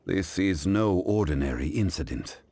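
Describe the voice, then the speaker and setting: A man playing a calm, dignified, learned gentleman in a video game, speaking in a formal, measured and deliberate way. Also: slight background noise. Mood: grave, thoughtful. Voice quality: deep. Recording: faint music behind the voice.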